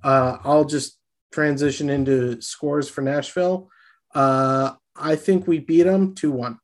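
Male co-host, mid pitch, earnest fan talk, rallying tone. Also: steady tone, measured pace. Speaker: podcast co-host. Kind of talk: casual sports chat.

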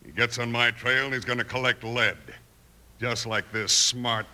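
An actor playing a menacing, boastful outlaw boss with an American Western accent in a 1950s radio western. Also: male voice, medium pitch, steady tone, normal speed, sharp tone. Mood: sneering. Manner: menacing, sneering.

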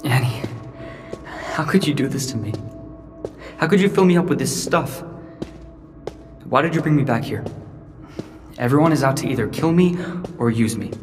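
A man's voice: medium pitch, lively intonation, moderate pace, long pauses, over music, lightly acted. Man playing an anxious teenage boy. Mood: hurt, angry. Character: Max, stranded teenage boy, anxious, wounded and distrustful. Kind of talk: sci-fi audio drama acting.

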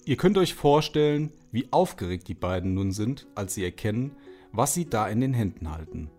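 A man's voice: lowish voice, sing-song, normal speed, a little mellow. A man narrating an audio drama for children, speaking directly to the young listeners. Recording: music under the voice.

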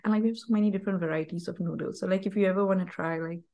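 A woman from Malaysia, speaking, chatting as a podcast guest in a lively, rambling way full of "like". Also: medium pitch, very fast, muffled recording. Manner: chatty and animated, heavy use of "like". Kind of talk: casual conversational chat.

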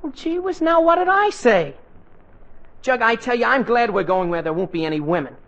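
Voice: medium pitch, expressive, normal speed, a bit bright. An actor playing an earnest, melodramatic teenage boy in a 1940s radio comedy, with a hesitant, plaintive manner. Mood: exasperated, dramatic. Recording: muffled 1940s radio broadcast recording.

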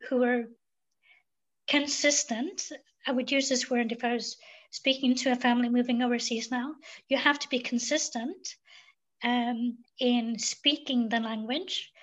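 A woman from Sweden, a podcast guest, talking thoughtfully and pausing with many fillers. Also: a little high, low-quality recording. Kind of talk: reflective interview talk.

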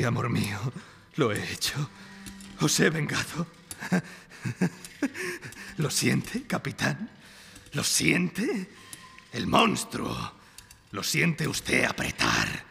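A man's voice: a little high, wildly swooping pitch, drawn-out words, creaky, long pauses, bright, forceful tone, exaggerated voice. A man playing a vengeful, grief-crazed German submarine officer in live radio theatre, raving theatrically with taunting questions. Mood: grim triumph. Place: performed before an audience in a library. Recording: reverberant live stage recording with music.

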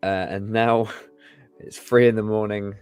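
A late-teen man co-hosting a late-night podcast, chatting loosely and dropping dry, cynical one-liners. His voice is fairly low, and faint music plays behind him. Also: little pitch movement, unhurried, a little mellow, smooth, dry recording. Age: late teens. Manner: dry, sardonic quips. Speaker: podcast co-host.

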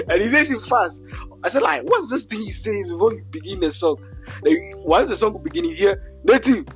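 Young male host, somewhat high-pitched, easygoing and slangy, faint music in the background. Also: normal speed. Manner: relaxed, easygoing, drops local slang. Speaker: podcast host. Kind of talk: casual chat.

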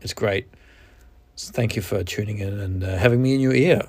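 A man in his forties with a New Zealand accent, hosting his own podcast in a reflective, halting way with frequent restarts. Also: fairly low pitch, a little mellow, no room sound. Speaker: podcast host. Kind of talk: candid solo podcast monologue.

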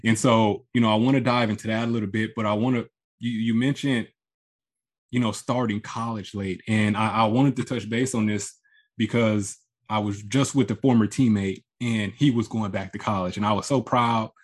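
A man's voice: lowish voice, fairly even intonation, normal speed. A man hosting an interview podcast, affirming his guest and restarting his sentences as he asks questions. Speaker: podcast host. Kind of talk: casual interview conversation.

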